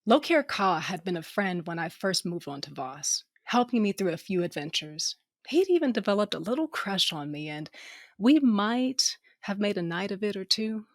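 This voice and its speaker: A woman's voice: medium pitch, sing-song, normal speed, dry recording, clean studio sound. A woman playing a tough but affectionate, wounded ship captain in a first-person audio drama. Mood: reflective, intimate.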